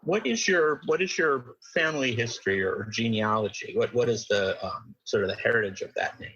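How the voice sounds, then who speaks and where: A man interviewing a guest on the radio, rephrasing his questions haltingly with fillers. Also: medium pitch, expressive, sharp tone, breathy edge, highs cut off.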